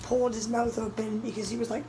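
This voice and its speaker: Hoarse young woman vlogging. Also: a little high, level tone, fast, heavy background noise. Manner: breathless, urgent retelling of a scare. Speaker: cat vlogger. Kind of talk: casual vlog storytelling. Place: small red-walled room indoors. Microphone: phone camera held close, low angle.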